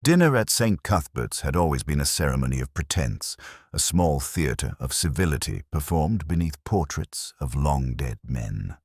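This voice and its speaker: A weary, cultured police inspector played by a man narrates a detective drama in an extremely low, husky voice. He is brooding and measured, with literary phrasing. The sound is close and dry. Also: very animated, no echo, exaggerated voice. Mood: melancholy, pensive. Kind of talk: scripted first-person detective drama narration.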